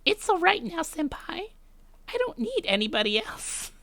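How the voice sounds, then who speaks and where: Very high-pitched obsessive anime schoolgirl. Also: very animated, unhurried, creaky, a bit bright, dry recording, heavily acted. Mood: eerily tender.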